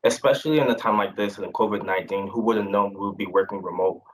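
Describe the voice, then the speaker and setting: Young man from Haiti, low voice. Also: fairly even intonation, fast talker, rough recording. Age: young adult. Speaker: panelist. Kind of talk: virtual panel discussion.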